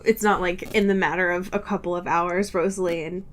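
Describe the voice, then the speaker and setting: A woman's voice: fairly low pitch, steady tone, fairly quick, slight background noise. An American woman co-hosting a podcast, chatting casually through a comedic book recap in a slangy, mock-exasperated way. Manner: exasperated mock-dramatic delivery, slangy, giggly. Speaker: podcast co-host.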